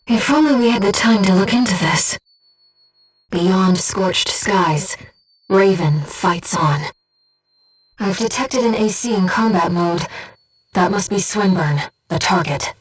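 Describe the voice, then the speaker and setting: A woman voicing an earnest, gentle guide who speaks inside a pilot's head in a mecha video game, in measured scripted delivery. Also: medium pitch, slightly rough, recorded from a distance, low-quality recording. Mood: earnest, reflective, then focused briefing. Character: soft-spoken, earnest female voice in a pilot's head, loyal guide. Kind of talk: scripted video game voice acting, tactical callouts.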